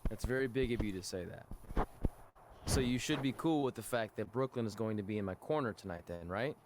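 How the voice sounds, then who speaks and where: A man's voice: lowish voice, fairly quick, noisy background, close to an everyday voice. A man voicing an anxious, goofy young wrestling champion in a video game cutscene, self-deprecating and earnest. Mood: firm.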